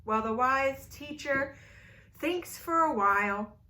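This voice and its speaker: A woman telling a folktale at a children's storytime, playful and animated, inviting the kids to join in. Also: high-pitched, slow. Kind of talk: storytime read-aloud for kids.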